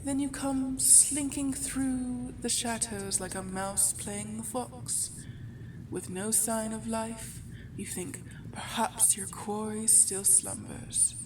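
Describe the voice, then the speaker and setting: A woman's voice: fairly high pitch, steady tone, slow, recorded from a distance, background noise. A woman playing an ancient vampire baroness in an ASMR roleplay, a mocking, seductive villain with a taunting, theatrical delivery. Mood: menacing, gloating. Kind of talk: ASMR audio roleplay acting.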